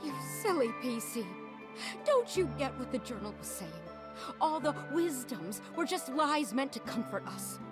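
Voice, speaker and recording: Blunt old game townswoman: high voice, very animated swoops, music behind. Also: unhurried. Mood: scolding. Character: blunt, world-weary old female townsfolk scrubber. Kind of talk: video game dialogue acting.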